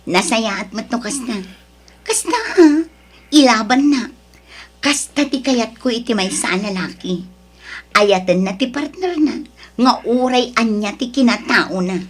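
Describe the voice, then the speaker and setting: A woman's voice: fairly high pitch, animated, husky, faint music behind. Woman acting a headstrong, demanding young mother. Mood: pleased. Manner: demanding, challenging, quick to scold. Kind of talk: audio drama acting.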